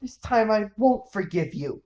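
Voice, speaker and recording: A woman voicing a stern, hot-tempered father who scolds in a gruff manner. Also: mid-range voice, big pitch swings, measured pace, mellow. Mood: furious.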